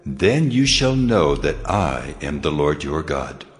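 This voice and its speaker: A deep, solemn divine figure speaks with measured authority. It is a commanding God of dramatized scripture, with faint music behind the words. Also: male voice, sing-song, full character voice. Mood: stern. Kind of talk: dramatized scripture audio acting.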